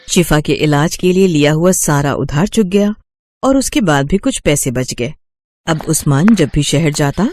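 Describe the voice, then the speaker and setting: A male narrator tells a scripted moral tale. His voice sits somewhat high for a man, with the measured phrasing of storybook reading. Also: darkish tone.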